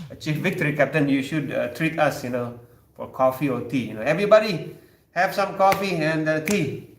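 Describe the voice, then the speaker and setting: A man's voice: mid-range voice, a bit bright, reverberant, a little hiss or hum. A man with an Indonesian accent, a Sundanese native speaker, hosting a casual live chat and talking with viewers, joking and teasing with many fillers and restarts. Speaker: host of a live chat stream.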